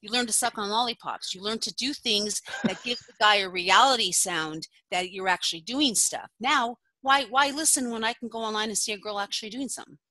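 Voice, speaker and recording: Middle-aged woman guest, chatty, laughs a lot, bright tone. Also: mid-range voice, a little fast, few pauses, dry recording, low-quality recording. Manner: chatty storyteller who laughs often. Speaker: guest, former phone sex operator. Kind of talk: casual podcast interview.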